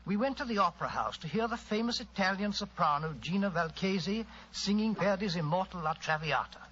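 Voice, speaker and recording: Doctor Watson, animated English gentleman storyteller. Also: high voice, fairly quick. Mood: genial, reminiscing. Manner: chatty, courtly, reminiscing. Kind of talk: 1940s radio drama acting. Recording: muffled old radio recording, faint noise.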